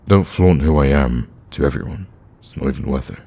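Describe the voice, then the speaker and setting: A man playing a lovestruck villain in an ASMR romance roleplay, awkward yet cocky, speaking softly and intimately with hesitant stammers. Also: extremely low pitch, brisk, creaky, heavily acted. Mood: anxious, apologetic.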